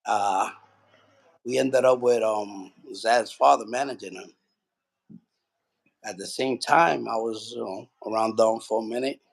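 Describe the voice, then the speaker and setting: A man from Puerto Rico, a boxing trainer, telling his story in a relaxed, rambling way with lots of fillers. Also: lowish voice, a little slow, vocal fry, pauses often, poor audio. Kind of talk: casual interview.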